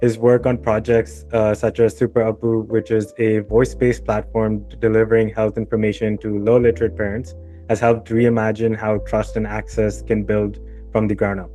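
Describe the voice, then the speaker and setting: A man's voice: fairly low pitch, level tone, normal speed, mellow, faint music behind. A man hosting a podcast, introducing the subject and putting questions in a conversational way. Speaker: podcast host.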